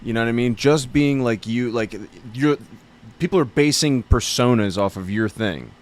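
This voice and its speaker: A man hosting a podcast in casual chat, excitable and quick to swear and laugh. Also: mid-range voice. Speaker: podcast host.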